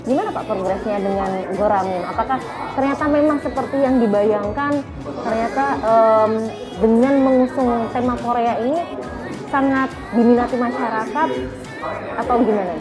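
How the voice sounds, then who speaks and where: A woman hosting a casual interview, chatty and upbeat and quick to laugh, recorded in a busy restaurant. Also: a little high. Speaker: talk show host. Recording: loud music and crowd chatter. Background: music and diners chattering.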